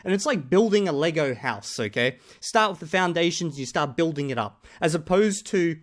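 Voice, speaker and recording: A man reacting to another creator's video in casual, argumentative commentary, often pausing on "okay?" to drive his points home. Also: on the high side, expressive.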